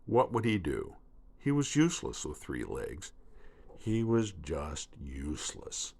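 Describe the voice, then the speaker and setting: Slow, gravelly man reading a storybook. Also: a bass voice, big pitch swings, darkish tone. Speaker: storybook reader. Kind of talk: expressive children's story narration.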